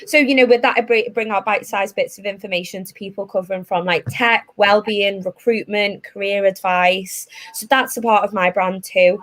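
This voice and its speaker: A woman's voice: mid-range voice, steady tone, normal speed, few pauses, a bit bright. A woman with a Liverpool accent, a tech recruiter speaking as a guest on a webinar in a chatty way, with lots of fillers, over a video call. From Liverpool, England. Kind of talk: casual webinar talk.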